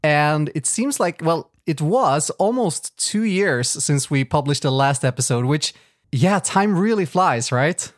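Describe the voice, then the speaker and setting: A Swedish-native man co-hosting a podcast, talking in a loose, rambling way full of fillers and restarts. His voice sits a touch high for a man, and the recording is close and dry, with no room sound at all. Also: animated, measured pace, dry recording. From Sweden. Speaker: podcast co-host. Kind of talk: casual chat.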